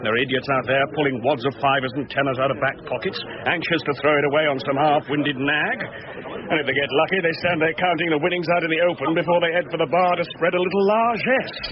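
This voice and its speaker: A dull radio drama recording, crowd behind, of an actor as a police superintendent. His voice is fairly high and animated, hearty, worldly and bluff. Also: quick pace, close to an everyday voice. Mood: worldly, scornful. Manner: hearty, expansive.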